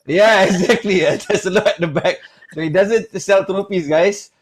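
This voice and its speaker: A man's voice: high voice, measured pace, crowd noise. A man hosting an online panel show, chatty and joking, often calling his guests mate. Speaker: host.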